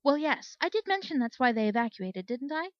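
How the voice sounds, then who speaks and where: A woman's voice: high voice, sing-song, fairly quick, dry recording, highs cut off, voice pushed up. A woman voicing a bookish, cheerfully oblivious unicorn mare inventor in a storybook, earnest and explanatory. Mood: matter-of-fact.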